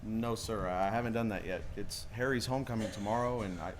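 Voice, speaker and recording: A man's voice: on the low side, steady tone, brisk, a little hiss or hum, lightly acted. Man playing a desperate, stammering young family man. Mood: anxious, pleading. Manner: stammering, pleading. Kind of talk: 1940s radio play acting.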